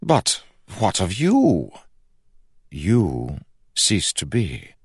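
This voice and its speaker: A man's voice: low-pitched, wildly swooping pitch, drawn-out words, breathy edge, no room sound, studio quality, pitched above the performer's own voice, exaggerated voice. A man voicing a pompous, pious king in an audiobook, questioning in a scornful, imperious way. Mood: disturbed, pressing. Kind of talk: audiobook character acting.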